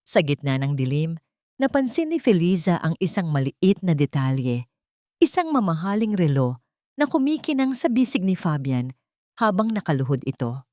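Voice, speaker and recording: A woman's voice: fairly low pitch, very animated, moderate pace, dark tone, no echo, clean studio sound. A woman narrating a dramatic story in a solemn, literary storytelling manner.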